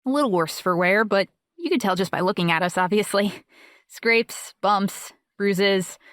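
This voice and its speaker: A self-assured young woman acted by a female audiobook performer, recorded very dry. She talks fluently and matter-of-factly, with a casual, reassuring manner at a middle pitch. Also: measured pace, dry recording, close to an everyday voice. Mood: casual, confiding. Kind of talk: audiobook dialogue acting.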